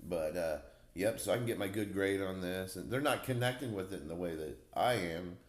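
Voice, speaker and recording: A man's voice: deep voice, fairly quick. A man in his forties hosting a casual podcast chat, talkative and slangy, laughing easily. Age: 40s. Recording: roomy echo.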